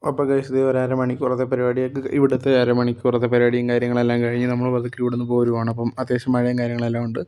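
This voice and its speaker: Fast, flat-voiced man from Kerala. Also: medium pitch, clear, resonant tone. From Kerala, India. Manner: rambling, heavy on fillers like "okay" and "and things". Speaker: vlogger narrating a bus-business roleplay. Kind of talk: casual first-person vlog narration.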